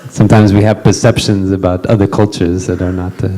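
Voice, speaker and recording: Middle-aged Western man, low mellow voice, halting moderator with lots of ums. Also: flat delivery, slight background noise. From Western country. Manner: hesitant, many fillers and restarts. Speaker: retreat panel moderator. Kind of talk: casual introduction to a gathering.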